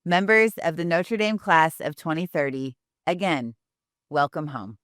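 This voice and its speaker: A middle-aged woman hosting a university welcome event, speaking formally and with emphasis. Her voice sits a little low. The sound is dry, as if from a video call. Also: lively intonation, a little slow, a bit bright, dry recording. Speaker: university admissions host. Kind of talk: welcoming speech and panel moderation.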